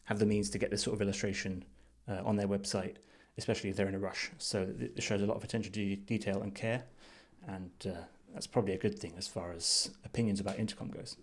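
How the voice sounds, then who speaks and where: Male reviewer, lowish voice, unscripted walkthrough delivery, measured and thoughtful, as if working it out while talking. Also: steady tone. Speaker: design reviewer. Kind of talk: unscripted screen-share commentary.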